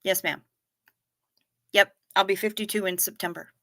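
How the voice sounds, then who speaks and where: A woman's voice: mid-range voice, steady tone, normal speed, sharp tone, no room sound, clean studio sound. A woman in her fifties hosting a casual livestream, reading chat comments aloud in a chatty, wry way with casual swearing. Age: 50s. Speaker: livestream host.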